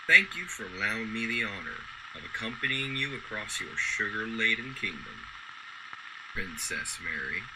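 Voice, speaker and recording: A man playing a gentle, playful working man given to musing, in a radio drama. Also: fairly low pitch, very animated, normal speed, noisy background. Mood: playful courtly.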